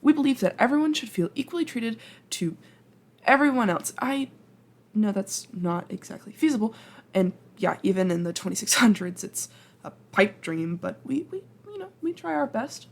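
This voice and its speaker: A woman's voice: mid-range voice, very animated, moderate pace, vocal fry. A woman playing a flustered, apologetic time traveler from the future in an ASMR roleplay, speaking hesitantly, stammering and rambling in a self-deprecating way. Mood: earnest, bashful. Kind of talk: ASMR roleplay acting, intimate and conversational.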